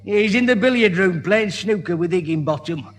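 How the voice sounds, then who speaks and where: A man's voice: high-pitched, expressive, normal speed, in a large hall. A man playing a flustered, easily riled pub landlord with a northern English accent in a radio sitcom. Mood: indignant. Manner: flustered, blustering. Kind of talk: old-time radio sitcom acting.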